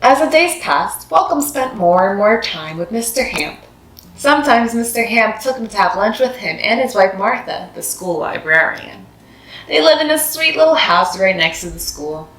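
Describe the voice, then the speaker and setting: A woman's voice: medium pitch, lively intonation, normal speed, a bit bright, a little hiss or hum. A woman narrating a children's story expressively, as a read-aloud host. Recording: roomy echo, music behind.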